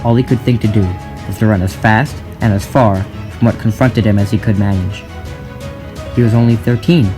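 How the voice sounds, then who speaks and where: Low-pitched man narrating a dramatic story. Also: fairly even intonation, moderate pace. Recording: music behind the voice. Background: clear music.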